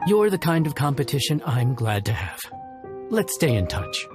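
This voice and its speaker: A plain-spoken inn chef voiced by a man in a video game, good-natured and easygoing. His folksy delivery moves up and down a lot in pitch, over clearly audible music. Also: sing-song, normal speed, lightly acted. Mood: friendly, reflective. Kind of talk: game voice acting.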